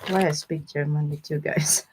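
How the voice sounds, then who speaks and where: A woman's voice: deep voice, steady tone, normal speed, background noise. A woman with informal, non-native English phrasing, chatting casually with viewers as she gives a live tarot reading. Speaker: tarot reader hosting a live stream.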